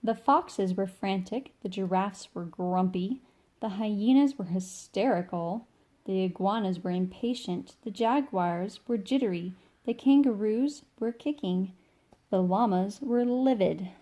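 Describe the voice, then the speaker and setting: A woman reading a children's picture book aloud as an informal, expressive storyteller who stretches key words for young listeners. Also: mid-range voice, measured pace. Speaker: read-along storyteller.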